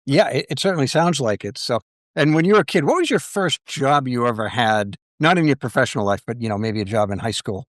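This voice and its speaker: A man's voice: mid-range voice, animated, fairly quick, no room sound, studio quality. A middle-aged man, interviewing in a casual, informal way as a podcast host.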